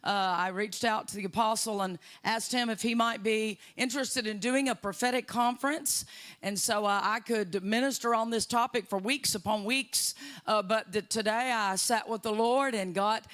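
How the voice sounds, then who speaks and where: Texan woman, guest preacher, bright tone, rousing, with many "uh" pauses. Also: on the high side, fairly even intonation. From Texas, USA. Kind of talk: sermon.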